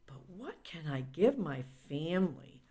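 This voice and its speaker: A woman's voice: low voice, sing-song, measured pace, warm tone, husky, full character voice. Curious, eager kid, played by a woman, naive and wondering, very varied intonation, children's audiobook dialogue. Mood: puzzled. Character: curious, eager child asking questions about allowance.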